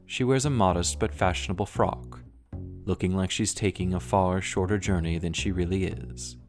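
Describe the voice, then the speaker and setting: A man playing a moody noir narrator, setting scenes in a scripted audio drama with a measured, atmospheric delivery. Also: darkish tone. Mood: moody, hushed intrigue. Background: plucked double bass music.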